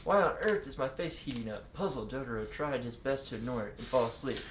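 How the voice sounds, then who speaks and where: A man's voice: medium pitch, quick pace. A man giving a casual read-aloud of fan fiction, slipping in joking asides. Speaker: co-reader reading fan fiction aloud with commentary. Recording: echoey room with faint background noise.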